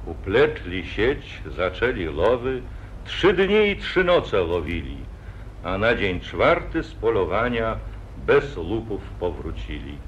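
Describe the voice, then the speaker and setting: A man's voice: on the low side, sing-song, takes it slowly, slight background noise. A man narrating an old epic in solemn verse, in 1940s radio acting style. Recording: dull lo-fi 1948 radio recording.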